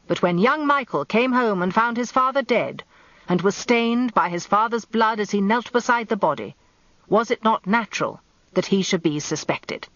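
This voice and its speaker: Woman playing old male barrister, shrewd and rhetorical, pausing for drama, radio courtroom speech, dry muffled sound. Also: medium pitch, a bit bright, no echo. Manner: measured courtroom oratory with dramatic pauses.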